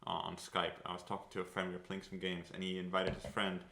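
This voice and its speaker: A man's voice: sits low, completely flat delivery, fast talker, vocal fry, bright, forceful tone, roomy sound. A young man from South Africa, speaking with a light international accent that has faint South African traces, chatting casually on a podcast and rambling with many fillers. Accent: light international English with faint South African traces. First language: Afrikaans. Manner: rambling, full of "like" and restarts.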